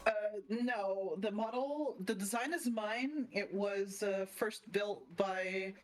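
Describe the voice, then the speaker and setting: A woman from Portugal speaking, a guest streamer who is playful and relaxed and laughs often. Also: medium pitch, slow, soft background music, poor audio. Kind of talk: casual interview chat.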